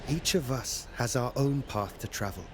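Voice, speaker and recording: An actor playing a steadfast, compassionate warrior hero in a video game, earnest and measured. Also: male voice, medium pitch, expressive, slight background noise, close to an everyday voice. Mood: consoling, earnest. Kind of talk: dramatic video game acting. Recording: music behind the voice. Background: music.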